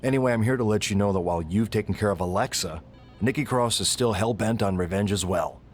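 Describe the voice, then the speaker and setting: A man's voice: fairly low pitch, fast, slight background noise, natural voice. A man playing a wary, dryly diplomatic wrestling show boss in a video game. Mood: dry, placating. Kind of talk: scripted video game cutscene acting.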